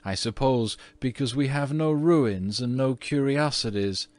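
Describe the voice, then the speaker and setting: A man's voice: fairly low pitch, very animated, a little slow, no room sound. A man voicing a prim, spirited young American girl in a storybook, earnest and scolding. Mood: scornful. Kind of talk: storybook character reading.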